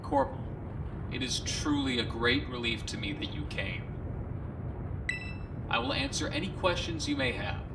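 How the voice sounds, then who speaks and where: A man plays an artificial professor, a courteous robot who explains things in measured, formal sentences. The voice is gravelly and swings widely in pitch. It is a fan-dub recording, distant from the mic with clear noise. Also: wildly swooping pitch, exaggerated voice. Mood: polite, calm. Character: polite AI robot replica of a male professor. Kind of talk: scripted fan dub voice acting.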